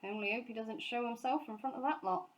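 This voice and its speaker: A woman's voice: on the high side, lively intonation, brisk, voice pushed up, rough recording. A woman voicing a dour, disapproving male factory gatekeeper in a storybook, grumbling colloquially.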